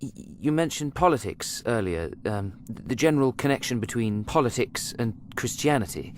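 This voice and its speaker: Man playing a hesitant, lively junior demon. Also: medium pitch, moderate pace, slight background noise, lightly acted. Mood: doubtful, puzzled. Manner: hesitant, deferential, questioning. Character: junior male demon nephew, hesitant, dim, eager pupil. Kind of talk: audio drama dialogue.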